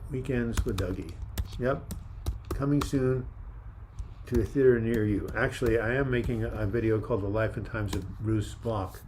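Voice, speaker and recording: An older man hosting a casual live chat, reading viewer comments in a calm, soft-spoken way with frequent restarts. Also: lowish voice, normal speed, a little hiss or hum. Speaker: livestream host.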